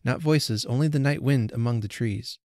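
A man's voice: mid-range voice, normal speed, a little mellow, no room sound, clean studio sound, close to an everyday voice. A man voicing the story's first-person teller, earnest and reassuring in his quoted lines.

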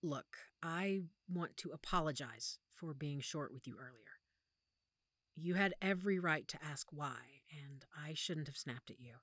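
A woman acting a protective, weary werewolf pack leader in an audio roleplay, speaking softly and measuredly with thoughtful pauses. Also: low-pitched, no room sound, clean studio sound. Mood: weary, apologetic. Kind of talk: audio roleplay drama, one-sided conversation.

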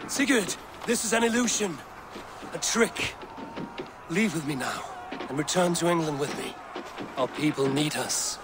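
A man's voice: on the high side, lively intonation, a little slow, pauses often, background noise. A man playing a stern, defiant Viking warrior in a video game, with a grave and resolute delivery. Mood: urging. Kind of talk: dramatic video game acting.

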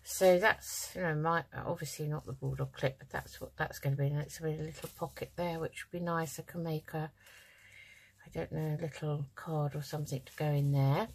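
A woman with a low, slightly croaky voice talks through a craft project. She is chatty and informal, thinking aloud as she works at her table. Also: fairly even intonation, a little fast. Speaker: craft channel host. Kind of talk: casual craft tutorial, talking while working.

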